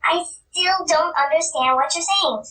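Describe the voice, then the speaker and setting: A woman voicing an excitable, bossy and smug young boy rabbit in a read-aloud storybook, with childlike indignant delivery. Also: extremely high pitch, a little slow, voice pushed up, full character voice. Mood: frantic, exasperated. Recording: roomy, distant mic, faint noise.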